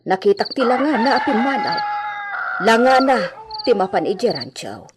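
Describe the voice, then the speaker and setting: An actress playing a fretful village woman in an Ilocano radio comedy drama, recorded with clear noise. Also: on the high side, big pitch swings, normal speed. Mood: worried. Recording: clear noise, animal and insect sounds behind.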